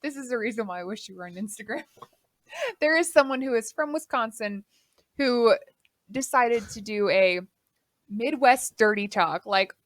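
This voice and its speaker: A woman in her late twenties from Texas, co-hosting a casual podcast chat, lively and quick to swear. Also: fairly high pitch, dry recording, studio quality. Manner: animated, swears freely. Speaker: podcast co-host. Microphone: studio mic.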